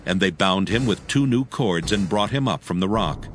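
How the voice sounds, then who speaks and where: Low-voiced solemn male Bible narrator. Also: animated, moderate pace, soft background music. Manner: solemn storytelling delivery. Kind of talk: scripted dramatized Bible narration.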